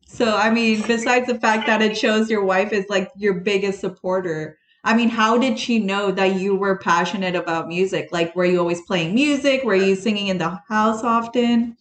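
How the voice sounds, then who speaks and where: A woman's voice: mid-range voice, steady tone, moderate pace, hardly any pauses, reverberant, slight background noise. A woman hosting a podcast, asking a guest questions in a conversational way with filler words. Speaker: podcast host. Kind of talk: interviewing a guest.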